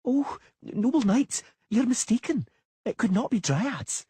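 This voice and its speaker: A shaky, high-pitched little girl, scared but sharp as she speaks up, as a man reading the tale puts her on. Her voice swings and rises with every plea. It is husky in the reading and dry, with no room sound. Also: sing-song, measured pace, no echo, heavily acted. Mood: trembling insistent. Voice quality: trembling.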